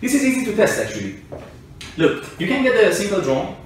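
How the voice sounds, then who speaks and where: A man with a slightly high voice gives an informal, argumentative explanation. He sits far back from the mic in a very reverberant room, talking through simple everyday examples. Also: huge pitch swings, a little fast. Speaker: explainer, self-described engineer and scientist. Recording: echoey room, distant mic, faint noise.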